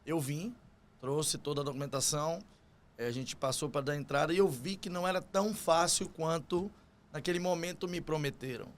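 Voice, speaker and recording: Higher-voiced Bahian Brazilian man. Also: normal speed. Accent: Bahian Brazilian Portuguese. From Salvador, Bahia, Brazil. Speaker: podcast guest, construction business owner. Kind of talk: conversational interview storytelling.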